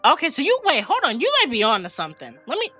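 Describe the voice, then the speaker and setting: A woman's voice: high-pitched, wildly swooping pitch, quick pace, bright, forceful tone, slightly rough, faint music behind. A woman co-hosting a gaming podcast in casual, slangy, emphatic chat, sounding like she has a slight head cold. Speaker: podcast co-host and game streamer.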